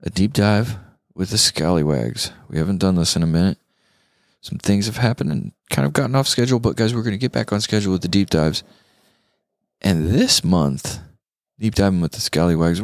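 An American man with a deep voice hosts a casual music podcast. He talks in a laid-back, slangy way, and the sound is dry with very little room on it. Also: vocal fry, dry recording. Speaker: podcast host.

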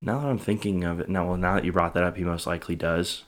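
A man with a low-pitched voice co-hosts a true crime podcast. He chats casually and reacts to the story, tentatively working out his thoughts as he speaks. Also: fairly even intonation, quick pace. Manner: tentative, thinking aloud.

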